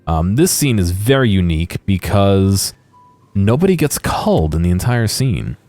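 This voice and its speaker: A man giving casual, unscripted video game commentary in a hesitant, halting way. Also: sits low, sing-song, a little mellow, dry recording, soft background music.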